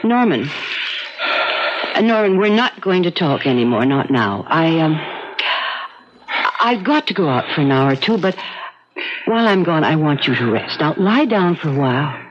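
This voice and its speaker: An actress playing a devoted, gently insistent wife in an old-time radio drama, with coaxing delivery. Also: female voice, low-pitched, sing-song, moderate pace, pauses often, dull audio, noisy background. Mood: gently reassuring.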